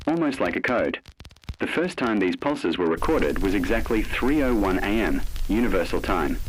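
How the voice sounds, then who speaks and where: A man's voice: on the low side, slight background noise, low-quality recording. A man narrating a scripted horror warning in a grave, ominous manner.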